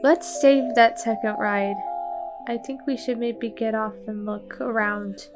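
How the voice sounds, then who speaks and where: A woman's voice: fairly high pitch, steady tone, measured pace, over music. A woman playing a tabletop roleplaying game, chatting casually in and out of her character.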